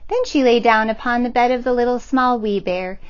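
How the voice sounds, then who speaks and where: A woman, a children's librarian, reading a picture book aloud in a gentle, expressive storytelling manner. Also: on the high side, brisk, smooth, muffled recording.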